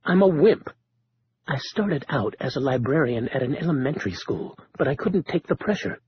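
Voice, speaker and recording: A man voicing a nervous, fussy male librarian who talks in whiny, excitable bursts. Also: medium pitch, moderate pace, muffled recording, a little hiss or hum, close to an everyday voice. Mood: agitated.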